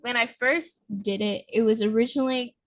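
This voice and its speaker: A woman's voice: on the high side, fairly even intonation, normal speed. A woman hosting a podcast, chatting casually with a guest and often filling her sentences with "like.". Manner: chatty, many "like" fillers. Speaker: podcast host. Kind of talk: casual interview chat.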